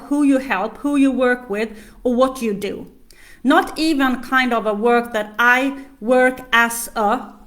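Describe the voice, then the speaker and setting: A woman's voice: fairly high pitch, fairly bright tone. A middle-aged woman from Sweden with a Swedish accent, chatting to viewers as a business coach on a live stream, with many fillers.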